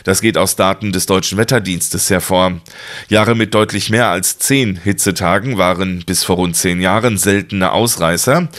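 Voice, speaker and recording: Lower-voiced male newsreader. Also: moderate pace. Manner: formal, clear, even reading. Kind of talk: radio news and weather bulletin.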